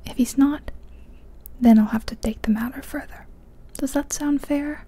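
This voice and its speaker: A woman voicing a kindly, knowing old male candy shopkeeper in a soft whisper. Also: high-pitched, fairly even intonation, normal speed, voice pushed up, close to an everyday voice. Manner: whispered, gentle, reassuring.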